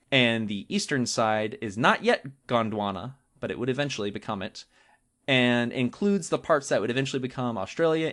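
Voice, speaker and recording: A man's voice: medium pitch, a bit bright. Man explaining science in casual podcast talk. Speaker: podcast host explaining natural history.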